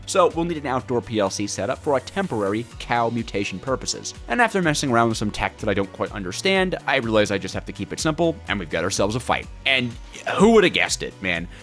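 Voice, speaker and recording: A man's voice: fairly low pitch, a bit bright. A man narrating a gaming video in a casual scripted style, with a playfully dramatic delivery.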